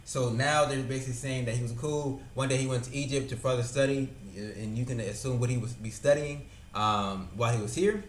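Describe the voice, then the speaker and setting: A man's voice: medium pitch, fairly quick, few pauses, slight background noise. A young man casually explaining a news event as a vlogger, talking loosely with many fillers and repeated words. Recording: echoey room with faint ambience.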